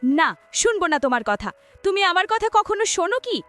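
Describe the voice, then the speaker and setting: A woman's voice: very high voice, very animated, a little fast, fairly bright tone, soft background music, exaggerated voice. A woman playing the vengeful ghost of a jilted young woman in a horror cartoon, dramatic, accusing and menacing. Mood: hurt, angry. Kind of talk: melodramatic horror cartoon dialogue.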